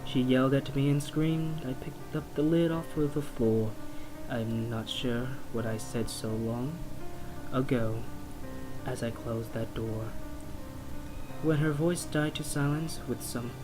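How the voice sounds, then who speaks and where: A man narrating a horror poem in a theatrical, deliberate, dramatic manner. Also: mid-range voice, steady tone, poor audio. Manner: theatrical, slow and deliberate delivery of rhymed verse. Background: plucked guitar music.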